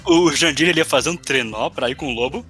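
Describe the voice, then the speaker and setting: A man's voice: a little high, quick pace, fairly bright tone, soft background music. A man with a Brazilian accent in casual podcast banter, teasing his co-host with mock questions. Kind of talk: casual chat looking back on a role-playing campaign.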